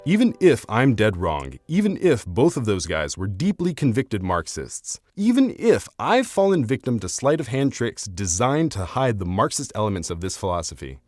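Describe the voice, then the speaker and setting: Deep-voiced man reading a scripted essay. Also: big pitch swings, darkish tone, no room sound, studio quality. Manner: formal, measured, academic. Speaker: video essayist. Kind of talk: scripted narration of an argumentative essay.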